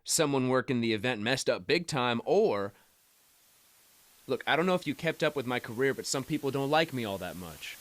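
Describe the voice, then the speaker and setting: Plainspoken man playing a gruff bull rider. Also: medium pitch, lively intonation, fairly bright tone, natural voice. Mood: frustrated. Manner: folksy, plainspoken, halting. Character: gruff injured bull rider, rough-talking but kind. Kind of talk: naturalistic radio play acting.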